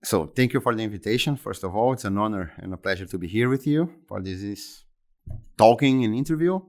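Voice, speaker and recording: A man in his fifties with a Brazilian Portuguese accent, answering interview questions in English that is not his first language. His voice sits fairly low, and he talks haltingly, repeating words and restarting phrases as he goes. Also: animated, darkish tone. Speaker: interview guest, exhibition center executive.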